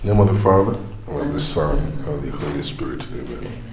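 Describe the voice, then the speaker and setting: A man's voice: extremely low pitch, lively intonation, quick pace, creaky, roomy sound, music in the background, full character voice. An actor playing a stern, devout middle-aged father who lectures his family. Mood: prayerful.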